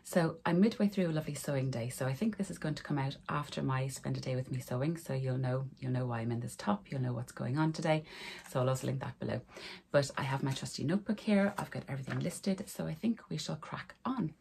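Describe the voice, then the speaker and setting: A woman, hoarse from a cold, chatting casually to camera about her sewing in a small room. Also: very deep voice, fast, a little mellow, a little hiss or hum. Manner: friendly, rambling, talks to camera while showing garments. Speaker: sewing vlogger. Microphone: camera in front of her at desk.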